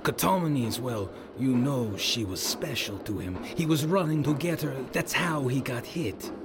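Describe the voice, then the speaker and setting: An actor playing a gruff, loyal bodyguard who talks bluntly and protectively to a young lady in an audio drama. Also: mid-range voice, animated, normal speed, background noise, natural voice. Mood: grim, consoling. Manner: blunt, plain-spoken, addresses her as girl. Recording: music behind the voice.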